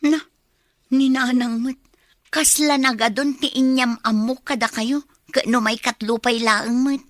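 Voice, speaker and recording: A woman plays a young daughter in a radio drama, defensive and expressive. Her voice is somewhat high with a Filipino accent, recorded very dry. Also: measured pace, no room sound, close to an everyday voice. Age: young adult. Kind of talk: Ilocano radio drama acting.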